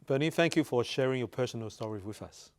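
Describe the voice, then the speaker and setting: A man's voice: mid-range voice, quick pace. A man speaking as an interview host, putting questions in a conversational style.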